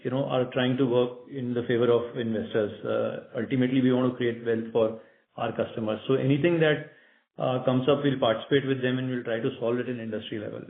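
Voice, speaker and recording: A man's voice: mid-range voice, fairly even intonation, a little fast, phone-quality sound. Indian man on an echoey conference line. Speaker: company executive on an earnings call. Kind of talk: unscripted business question-and-answer. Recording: echoey, band-limited conference call line.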